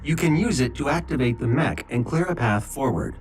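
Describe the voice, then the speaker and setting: A man voicing a calm, helpful ship's artificial intelligence in a video game, giving matter-of-fact instructions. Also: mid-range voice, brisk, background noise, natural voice. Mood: calm, informative. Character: calm, helpful male ship computer intelligence. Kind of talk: scripted video game guidance. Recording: loud music behind the voice. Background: loud game score.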